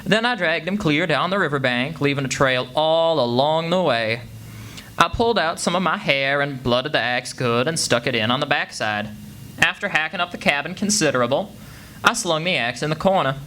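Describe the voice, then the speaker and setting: A plucky backwoods boy played by a man with a bright, slightly high voice. He tells his story, in a live radio play with faint noise. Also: moderate pace. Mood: proud, matter-of-fact. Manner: folksy, plain-spoken. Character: plucky, crafty backwoods boy telling his own adventures. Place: live performance before an audience. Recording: faintly noisy live stage recording.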